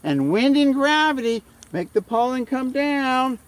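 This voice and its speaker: An excitable farmer teaching kids about plants , played by a man. The voice is extremely high and swoops widely as he questions and explains. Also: a little slow, heavily acted. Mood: playful, instructive. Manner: animated explaining, asks questions. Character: Farmer McGregor, excitable garden teacher for children. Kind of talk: children's educational segment.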